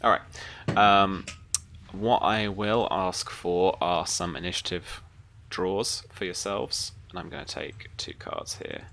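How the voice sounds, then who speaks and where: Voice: low-pitched, sing-song, slow pace, creaky, a bit bright. A game master narrating a tabletop roleplaying game in a hesitant, improvised way, with some noise in the recording.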